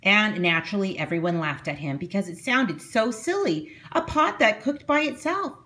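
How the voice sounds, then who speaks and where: Female read-aloud narrator, teacherly, very lively intonation, expressive storybook delivery for young children. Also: medium pitch, normal speed.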